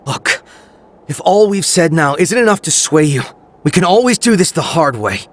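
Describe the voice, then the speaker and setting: An actor playing an earnest, resolute young hero in a video game, speaking in solemn, heartfelt appeals. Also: male voice, on the high side, sing-song, moderate pace. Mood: firm, resolute.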